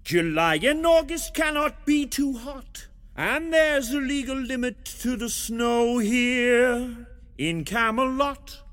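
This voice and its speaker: A man's voice: very high voice, expressive, takes it slowly, a bit bright, full character voice. An actor playing a grand, theatrical male stage star in a radio drama, declaiming in a lyrical, musical way. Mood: grandly whimsical. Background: faint music.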